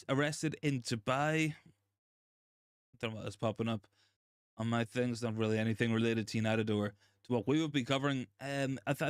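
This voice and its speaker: A young man, hoarse and gravelly from a recent virus, hosting a casual live chat and reading out viewer comments, with many ums and repeated phrases. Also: mid-range voice, dry recording, studio quality. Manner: repeats himself, frequent ums and restarts. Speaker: livestream host.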